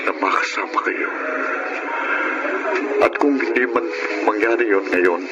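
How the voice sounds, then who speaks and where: Low voiced guy acting as a sorry, patient dad in a radio drama, loud music behind. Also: a little slow, natural voice, poor audio. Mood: remorseful, tender. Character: remorseful, patient father narrating his life story.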